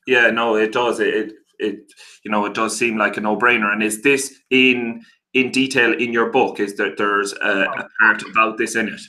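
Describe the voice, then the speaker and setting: Bright-voiced male host, hesitant questions. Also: fairly low pitch, fairly even intonation, moderate pace. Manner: hesitant questions full of fillers. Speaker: interview host. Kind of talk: casual interview on a video call. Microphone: video call.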